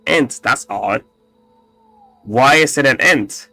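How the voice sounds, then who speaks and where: Halting, bright-voiced man explaining code. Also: medium pitch, dry recording, soft background music. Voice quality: raspy. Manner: halting, full of fillers and restarts, profane asides. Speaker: coding tutorial host. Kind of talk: casual screen-recorded coding walkthrough.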